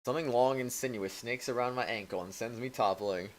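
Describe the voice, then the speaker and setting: A man's voice: mid-range voice, fairly quick, poor audio. A man streaming a game, reading visual novel narration aloud and adding his own side commentary. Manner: reads stage directions and punctuation aloud.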